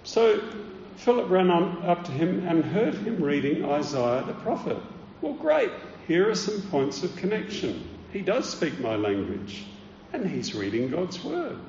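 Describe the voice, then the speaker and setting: A man preaching a sermon with earnest, deliberate teaching and emphatic stresses, recorded in a roomy, dull church hall. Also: sits high, sing-song, creaky, darkish tone, a little hiss or hum.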